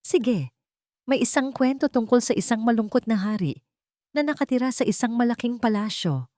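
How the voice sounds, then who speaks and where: A woman voicing a loving, devoted husband and father in an audio drama, speaking tenderly and softly. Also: a little high, animated, normal speed, dry recording, clean studio sound, pitched above the performer's own voice, natural voice.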